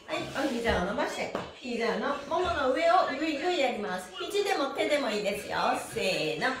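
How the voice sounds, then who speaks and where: A woman's voice: sits high, sing-song, brisk. A middle-aged woman leading an exercise class, cheerful and encouraging, with sing-song calls as she moves. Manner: speaking while exercising. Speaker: stretching instructor, pantomime performer. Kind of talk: live-streamed exercise class with counted chants. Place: small bare-walled indoor studio. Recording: roomy echo with music behind.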